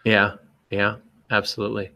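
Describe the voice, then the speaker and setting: A man's voice: on the low side, monotone, moderate pace, vocal fry, sharp tone. A man hosting a podcast, casually explaining his views on writing in a conversational way. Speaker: podcast host and writer.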